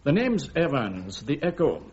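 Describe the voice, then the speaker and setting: An actor playing a pushy, insinuating newspaper reporter in a radio drama, needling with smooth persistence. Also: male voice, mid-range voice, huge pitch swings, unhurried, darkish tone, heavily acted. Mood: probing. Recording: dull lo-fi radio drama recording.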